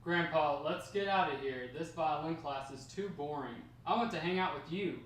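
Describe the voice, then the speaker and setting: A man's voice: on the high side, normal speed, fairly bright tone, lightly acted. A man in a table read playing a young, candid, bored grandson. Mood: plain, affectionate. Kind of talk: amateur screenplay table read. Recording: echoey room, distant mic, faint noise.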